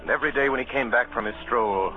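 An actor playing a grown man who solemnly recounts his boyhood adventure as narrator of an old-fashioned radio drama, measured and literary. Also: medium pitch, lightly acted. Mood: measured, descriptive. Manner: measured, grave, literary storytelling. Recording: tinny old radio broadcast, music behind.

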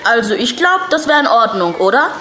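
A woman's voice: a little high, big pitch swings, a little fast, pushed and bright, a little hiss or hum. A woman playing an outspoken, loyal young schoolgirl in a children's audio drama, with a frank manner. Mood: objecting.

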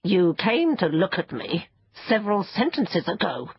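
A dry, slightly dull recording of a woman playing an ancient sand fairy in a children's storybook. The creature is husky and peevish, with swooping, self-important delivery that bristles with easy offence. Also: lowish voice, big pitch swings, unhurried, no room sound, full character voice. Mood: crossly indignant. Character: ancient furry sand fairy, crotchety, haughty, easily offended.

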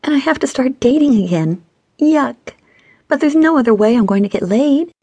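Woman voicing a flirty, whiny woman, pitched up. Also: expressive, moderate pace, warm tone, husky, dry recording, highs cut off, heavily acted. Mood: whiny, pouting. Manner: teasing, pouty whine. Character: flirty, whiny, pouting single woman, tipsy and bold.

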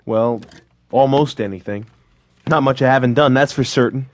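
A man's voice: mid-range voice, moderate pace, no echo, close to an everyday voice. Man acting earnest young Western drifter. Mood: pleading, earnest. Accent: American. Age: young adult. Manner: deferential, plain-spoken, slightly hesitant. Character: polite, earnest young drifter seeking work. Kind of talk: audio western drama acting.

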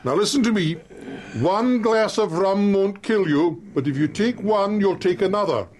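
Stern doctor-magistrate , male actor: high-pitched, commanding, clipped and admonishing, unafraid, period radio drama. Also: normal speed, a little mellow, a little hiss or hum, lightly acted.

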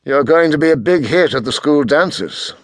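A man voicing a huge, calm, understated bodyguard in an audiobook, restrained and terse. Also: fairly high pitch, normal speed, dry recording, pitched above the performer's own voice, lightly acted. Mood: wry, chiding.

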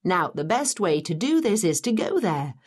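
A woman playing a lively, knowledgeable teacher who guides a student through the past in a scripted educational audio drama, chatty and explanatory. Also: fairly low pitch, moderate pace, husky. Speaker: narrator and guide.

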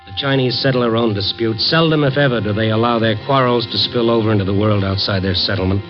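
An actor playing a streetwise, persistent reporter in an old radio noir drama, with a wry, clipped delivery. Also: male voice, on the low side, fast, close to an everyday voice. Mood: tense, brooding. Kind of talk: 1940s-50s radio noir acting and first-person narration. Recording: muffled old radio recording, music behind.

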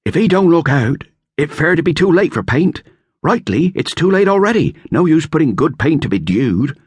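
A man's voice: a little high, very animated, normal speed, darkish tone, husky, no echo, voice pushed up. A man voicing Bill, a blunt, bossy young boy, in an audiobook. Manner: blunt, commanding. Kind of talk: audiobook character voice.

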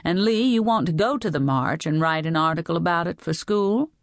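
Audiobook role: gentle grandmother, read by a woman, peacemaking, mildly chiding, slightly low voice. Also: fairly quick, dry recording, clean studio sound, close to an everyday voice. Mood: soothing, measured. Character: gentle grandmother caught between daughter and granddaughters. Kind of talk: audiobook character voice.

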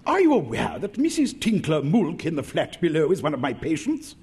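Outraged pompous doctor, English accent, stern radio-comedy bluster, crackly and very animated. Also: male voice, on the high side, fairly quick, slightly rough, exaggerated voice. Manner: stern, indignant. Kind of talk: comic radio drama acting.

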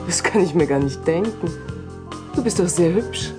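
A woman's voice: on the low side, expressive, moderate pace, over music, lightly acted. An actress playing a secretive, assured woman who coaxes others into a cult in a German audio drama. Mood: friendly, coaxing. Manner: coaxing, assured.

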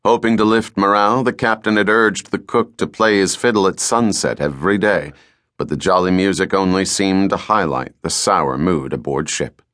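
A man with a fairly low voice reads a novel as a professional audiobook narrator. His delivery is scripted, measured and deliberate, with a dramatic storytelling feel. The sound is very dry, with no room around it. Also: fairly bright tone, no room sound.

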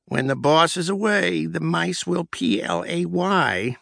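A man's voice: a little high, moderate pace, creaky, bright, forceful tone, dry recording, voice pushed up, heavily acted. Sardonic sister mouse, dry teasing delivery, voiced by a man lifted above his own register, storybook. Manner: smirking, snorting. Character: sardonic young female mouse, the hero's sister.

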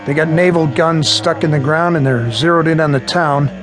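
An actor playing a tired army sergeant in a radio war drama, terse and sardonic. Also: male voice, fairly high pitch, moderate pace, music in the background, close to an everyday voice. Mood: weary, wry. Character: tired, wry male army sergeant at the front.

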